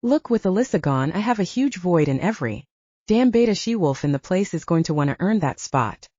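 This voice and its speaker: Woman voicing smug werewolf club owner, husky and lively. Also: on the low side, fairly quick, no echo, muffled recording. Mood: smug, taunting. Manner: taunting, smug. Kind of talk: audiobook character dialogue.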